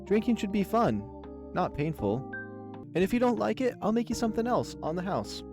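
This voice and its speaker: A man's voice: high-pitched, very animated, normal speed, pitched above the performer's own voice. A man voicing an easygoing, kindly male animal bartender in a visual novel, over music. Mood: reassuring. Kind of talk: visual novel character dub.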